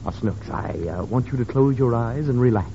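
An actor playing a self-important, formal doctor questioning patients in a 1930s radio comedy. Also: male voice, mid-range voice, a little fast, mellow, close to an everyday voice. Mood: instructing. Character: self-important, patient but easily interrupted psychoanalyst doctor. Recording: muffled, hissy 1930s radio recording.

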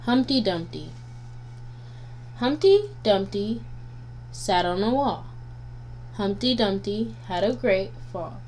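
Woman, children's rhyme reader, very unhurried, sing-song, deliberate, faint background hum. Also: mid-range voice, expressive, darkish tone. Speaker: guest storybook reader. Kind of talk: nursery rhymes read aloud for children. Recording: noisy home recording with hum.

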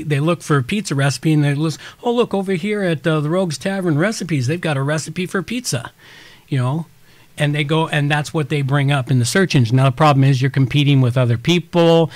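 A man with a somewhat high voice, recorded dry and close with almost no room sound. He explains technical topics to a co-host in a loose, rambling way, restarting sentences and reaching for homely examples. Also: dry recording. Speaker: podcast host. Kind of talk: casual explanatory chat.

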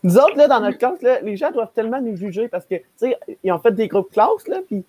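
A man's voice: high-pitched, very animated, a little fast, darkish tone, a little hiss or hum. A young man with a Quebec French accent, chatting casually on a group video call. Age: young adult. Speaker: podcast panelist. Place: room at home, video call.

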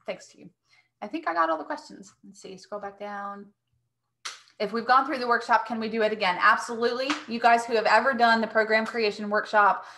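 A woman speaks as a webinar host and coach. Her voice is bright and crisp-edged, at a middling pitch. She talks casually and directly, as if answering questions over a video call. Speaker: host, dog training business coach.

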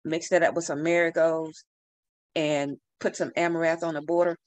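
A middle-aged woman giving relaxed, repetitive gardening advice over a video call from a room at home. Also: deep voice, normal speed, dry recording. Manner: relaxed, advising, repeats herself. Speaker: gardening speaker. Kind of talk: casual online talk. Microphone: video call webcam.

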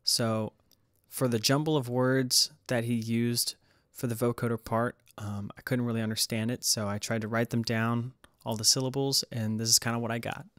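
A man hosting a casual music production tutorial, explaining in a hesitant, halting way. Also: mid-range voice, level tone, a bit bright, no echo, studio quality. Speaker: tutorial host.

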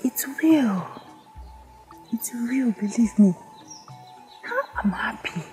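A woman's voice: fairly high pitch, lively intonation, extremely slow, warm tone, soft background music. An actress playing a supportive young woman with a Nigerian accent, reassuring a friend in a film drama. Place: outdoors among trees.

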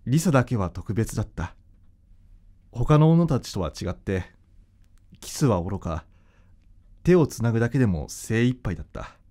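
A man's voice: lowish voice, very animated, moderate pace, long pauses, warm tone. A man playing an aloof but tender young boyfriend in a radio drama, blunt and a little bashful. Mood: reflective. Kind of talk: Japanese radio drama acting and narration.

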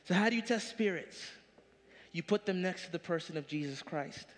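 A man in his thirties preaching a sermon from a large church stage, animated, with punchy rising questions. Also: sits high, normal speed, in a large hall. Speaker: pastor.

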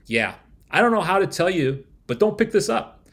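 A man in his thirties giving a frank, critical software review from a room at home, talking into a desk webcam. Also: sits high, very animated, moderate pace. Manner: frank, critical, plain-spoken. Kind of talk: casual video review. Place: home room with window blinds.

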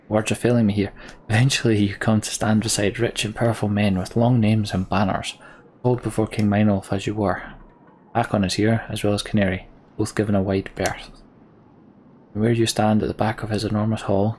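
A man's voice: on the low side, flat delivery, moderate pace, darkish tone, slight background noise. A man hosting a let's play, reading game text aloud and commenting casually with dry asides.

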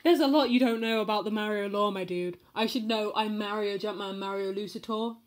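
A woman's voice: medium pitch, fairly quick. A woman giving a comedic, mock-dramatic reading of fanfiction, playful and giggly, breaking off to comment. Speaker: fanfiction reader.

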